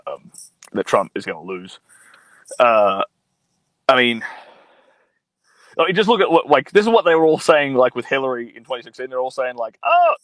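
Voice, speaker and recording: A man's voice: mid-range voice, a bit bright, no echo. A young man hosting a casual live stream from his mobile phone, reading viewer comments and answering in a blunt, profane, rambling way full of fillers.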